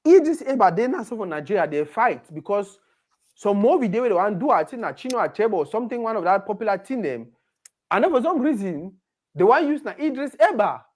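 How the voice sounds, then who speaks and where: Animated man. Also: sits high, fairly quick. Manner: animated, mixes pidgin into his English. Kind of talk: casual chat.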